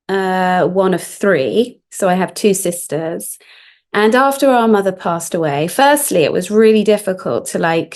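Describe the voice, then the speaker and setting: A woman hosting an interview podcast, welcoming her guest and sometimes searching for words. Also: lowish voice. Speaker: podcast host.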